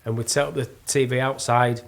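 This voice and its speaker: A man in middle age speaks with a Yorkshire accent at an ordinary male pitch. He chats informally, telling animated stories with a joking, self-deprecating streak. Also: fairly quick, gravelly. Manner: jokey, self-mocking storyteller. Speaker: podcast guest, actor and plasterer. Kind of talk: casual chat, animated anecdote telling.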